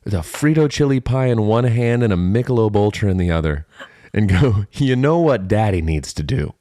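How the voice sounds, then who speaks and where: A man's voice: on the low side, lively intonation, moderate pace, mellow, no echo, studio quality. A man co-hosting a podcast in casual comedic banter, telling jokey stories with emphatic repetition. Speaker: podcast co-host.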